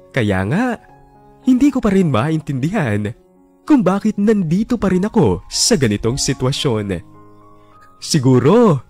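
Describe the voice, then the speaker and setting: A man's voice: fairly high pitch, darkish tone. A man in his twenties earnestly narrating his own story for a radio drama, pleading not to be judged. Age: 20s. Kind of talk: scripted confessional narration. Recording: music bed under narration.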